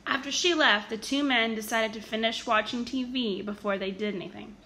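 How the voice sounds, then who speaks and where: A woman reading a children's story aloud in an expressive, animated storytelling manner with emphatic stresses. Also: fairly high pitch, a little fast. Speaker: storybook reader.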